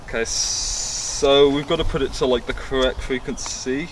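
A man's voice: medium pitch, fairly even intonation, normal speed, roomy sound. A young man presenting a hobby video in a casual, explanatory style to camera. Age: young adult. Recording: clear background noise, some outdoor ambience.

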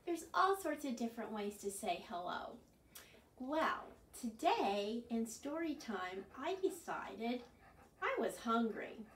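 A woman in her sixties presenting a children's storytime, expressive and often prompting the children with questions. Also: on the high side, slow, slight background noise. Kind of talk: children's storytime, singsong chanting and read-aloud. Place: small furnished living room, indoors. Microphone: camera a few metres away. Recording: roomy, distant camera microphone.

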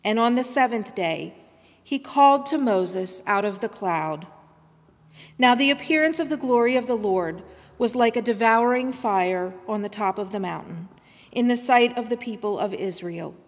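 Slow woman reader in reverberant church. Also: medium pitch. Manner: measured, careful reading at a lectern. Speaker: scripture reader. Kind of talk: slow liturgical scripture reading. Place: large church sanctuary. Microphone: lectern microphone.

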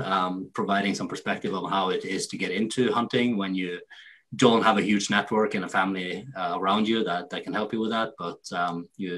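A man from Norway hosting a hunting webinar over a video call, speaking hesitantly with many pauses and fillers. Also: deep voice, pushed and bright. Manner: hesitant, many ums and restarts. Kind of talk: casual video call introduction.

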